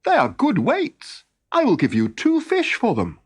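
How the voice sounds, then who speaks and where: A man's voice: high-pitched, huge pitch swings, unhurried, husky, voice pushed up, full character voice. A man voicing an enthusiastic, good-natured fisherman in a children's story, exclaiming emphatically. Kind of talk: storybook character voice.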